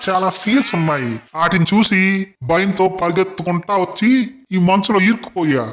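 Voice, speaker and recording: An anxious, loyal penguin buddy, acted by a man for a kids' animated story. The voice is very high for a male, excitable and folksy. There is faint noise behind it. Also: fairly quick. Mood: worried. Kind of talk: children's animated story acting.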